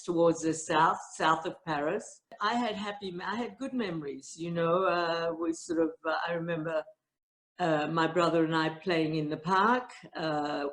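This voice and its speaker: A woman in her eighties from Italy giving personal testimony over a video call, hesitant with many fillers. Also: lowish voice. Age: 80s. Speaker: Holocaust survivor interviewee.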